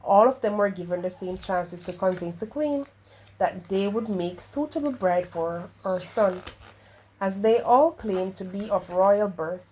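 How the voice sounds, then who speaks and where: A woman's voice: medium pitch, a little slow, a little mellow, muffled recording. Woman reading a children's story, noisy recording. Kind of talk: read-aloud children's storytelling. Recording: clearly noisy home recording.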